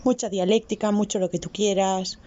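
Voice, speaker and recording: A woman with an accent from Spain giving a casual opinion in a voice message, in a husky voice. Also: medium pitch, fairly even intonation, moderate pace, warm tone, a little hiss or hum. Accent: Spain Spanish. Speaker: listener sending an audio message. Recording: dull, lo-fi voice message.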